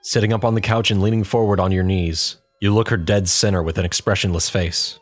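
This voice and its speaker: A man's voice: low voice, fairly even intonation, fairly quick, no echo. A man narrating a scripted story in the second person for an audio drama.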